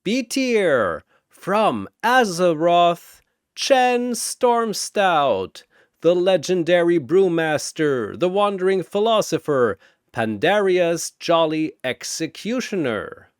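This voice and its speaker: A bombastic cosmic ring announcer, played by a man in a fantasy battle story. His pitch is raised and leaps around as he stretches out each introduction with theatrical glee. The sound is dry and close. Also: very animated, takes it slowly, clean tone, no echo, heavily acted. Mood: bombastic, showy.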